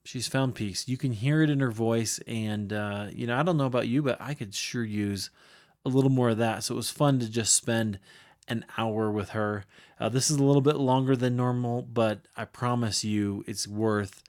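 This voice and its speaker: A man's voice: medium pitch, steady tone, moderate pace, a little mellow. Upbeat male podcast host. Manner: upbeat, chatty, enthusiastic. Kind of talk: scripted podcast intro.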